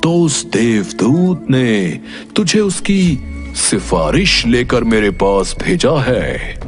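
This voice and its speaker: A man voicing a menacing man-eating cave demon in a cartoon fairy tale, with drawn-out, taunting threats. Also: mid-range voice, sing-song, over music. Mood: mocking, threatening. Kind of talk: Hindi animated fairy tale voice acting.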